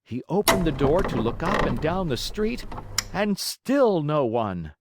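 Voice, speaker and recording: A man's voice: fairly high pitch, sing-song, a little slow, heavy background noise. A man narrating a children's story as an audiobook reader, in a theatrical, emphatic way.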